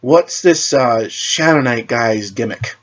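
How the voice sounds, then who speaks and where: A man's voice: mid-range voice, animated, slow, a bit bright. A man voicing a skeptical, needling teenage speedster hero in a superhero story, with a wry manner. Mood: snarky.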